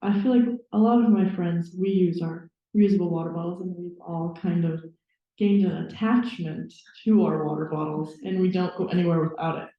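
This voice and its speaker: A woman's voice: on the low side, steady tone, normal speed. A young woman from Minnesota chatting casually on a panel. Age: young adult. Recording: echoey room, dull sound.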